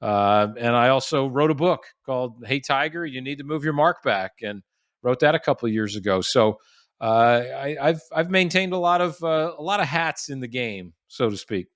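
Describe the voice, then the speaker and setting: A man in his forties from the United States tells stories easily as a podcast guest, restarting sentences and leaning on fillers. His voice sits in an ordinary middle pitch. The recording is dry and close, with no room sound. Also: animated, moderate pace, fairly bright tone, no room sound. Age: 40s. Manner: chatty storyteller with frequent restarts and fillers. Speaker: guest, golf professional. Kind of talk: casual podcast interview.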